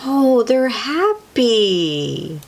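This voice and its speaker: A woman's voice: on the high side, sing-song, very slow, very noisy. A woman reading a picture book aloud expressively to young children, adding exclamations and drawing words out for effect. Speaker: children's read-aloud host.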